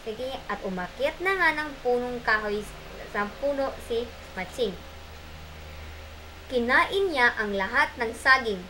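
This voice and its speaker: Narrator for a homemade puppet show: woman, bright high voice, informal storytelling delivery. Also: expressive, slow pace, long pauses, a little hiss or hum, poor audio. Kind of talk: amateur storytelling, informal rehearsal.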